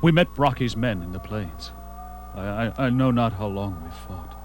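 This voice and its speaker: A man playing a battle-worn barbarian warrior in an audio drama, intense and bewildered, with halting, archaic speech. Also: lowish voice, expressive, soft background music, close to an everyday voice. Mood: dazed.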